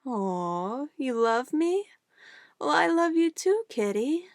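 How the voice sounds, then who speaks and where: A woman playing a sweetly menacing, possessive witch in an ASMR roleplay, cooing and coaxing condescendingly in a soft voice. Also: sits high, very animated, slow, no echo, clean studio sound, full character voice. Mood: gushing, adoring. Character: possessive young-sounding witch, sweetly menacing, doting on her familiar.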